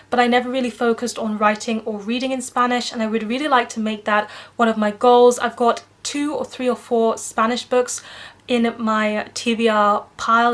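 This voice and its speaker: A young woman chats brightly to her camera in a relaxed, conversational vlog. Her voice sits a little on the high side, and she talks with an upbeat, slightly self-mocking ease. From Netherlands. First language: English. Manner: chatty, upbeat, lightly self-deprecating. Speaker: book vlogger. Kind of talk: casual vlog talk to camera.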